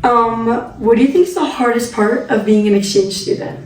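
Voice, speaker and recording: A woman's voice: on the high side, moderate pace, darkish tone. A young American woman interviewing fellow exchange students in a casual, joking way. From United States. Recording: echoey room, distant mic, background music.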